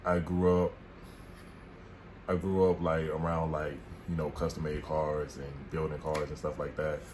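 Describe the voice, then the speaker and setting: Deep-voiced laid-back young man. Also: flat delivery, a little slow. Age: 20s. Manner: laid-back, goofy, hypes himself up. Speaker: vlogger. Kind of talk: casual couple chat. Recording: noisy room.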